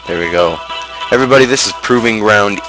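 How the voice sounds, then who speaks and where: A man with a fairly low voice hosts a livestream in a casual way. He rambles and pokes fun at himself while music plays behind him. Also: fast talker. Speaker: streamer and show host.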